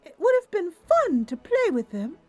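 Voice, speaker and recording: A tiny river fairy girl for a fantasy game, squeaky and very high. She is playful and petulant, exclaiming coyly in a lively, swooping lilt. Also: moderate pace, dry recording, faint music behind, heavily acted. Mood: reluctant. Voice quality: squeaky, girlish. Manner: exclamatory, coy.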